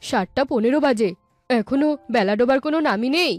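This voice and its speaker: A woman's voice: a little high, brisk, soft background music, natural voice. A woman voicing a village mother in a cartoon. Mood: puzzled. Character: village mother of a young girl. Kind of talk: cartoon dialogue.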